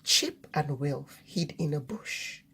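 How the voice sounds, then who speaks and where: A woman reading a children's story aloud as host, in deliberate, expressive narration. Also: low voice, breathy edge.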